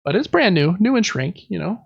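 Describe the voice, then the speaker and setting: A man's voice: sits high, big pitch swings, fairly quick, gravelly, husky, no echo, dull audio. A man co-hosting a casual podcast, describing board games in an informal, hesitant, self-deprecating way. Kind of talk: casual show-and-tell chat about board games.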